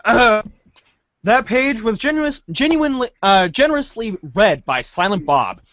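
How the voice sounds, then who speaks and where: A playful male podcast host reads a comic aloud in a high voice that swings widely in pitch. He takes his time and trips over the odd word. The audio is dry and a little dull. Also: lively intonation, unhurried, a bit bright, no room sound. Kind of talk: informal group read-aloud of a comic.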